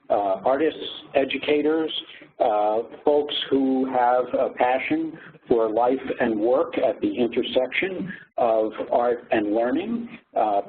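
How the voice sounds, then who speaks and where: A man giving an informational presentation as a program director, explaining in measured phrases with pauses. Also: medium pitch. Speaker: faculty director of a graduate program. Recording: phone-like lo-fi audio with faint noise.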